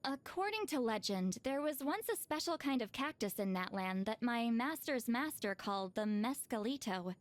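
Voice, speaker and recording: A youthful, high-voiced shaman woman from a video game, played by a woman. Her delivery is very animated, swinging up and down in pitch. She sounds proud yet socially awkward, with a hesitant, slightly huffy way of explaining herself. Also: moderate pace, hardly any pauses, close to an everyday voice. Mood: musing. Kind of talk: animated game character voice lines.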